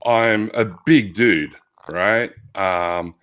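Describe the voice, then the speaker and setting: A man with an Australian accent, a physical therapist telling stories as an interview guest, loud and reflective, often restarting his sentences. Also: lowish voice, very slow, clean tone. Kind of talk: conversational interview.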